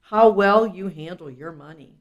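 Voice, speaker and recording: A woman's voice: mid-range voice, normal speed, muffled recording. A woman reading a children's self-help book aloud in an instructive, explanatory way, with casual asides.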